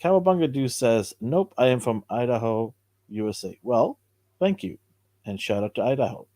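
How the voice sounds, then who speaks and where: A man hosting a casual live podcast, upbeat and chatty, his voice a bit hoarse from cheering. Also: medium pitch, animated, normal speed, warm tone, dry recording. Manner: upbeat, chatty, thanks viewers. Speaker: podcast host. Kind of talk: casual live-stream chat.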